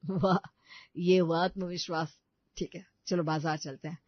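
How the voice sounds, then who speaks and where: A man's voice: sits high, steady tone, measured pace, no echo, dull audio. A man playing a supportive, encouraging husband in a story. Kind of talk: story dialogue.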